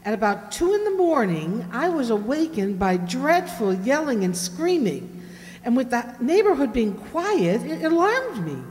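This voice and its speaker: A woman's voice: a little high, darkish tone. A woman playing an earnest audience member on an old radio show, telling a dramatic tale in careful detail. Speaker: audience contestant telling a story. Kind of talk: 1950s radio acting, storytelling. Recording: reverberant hall.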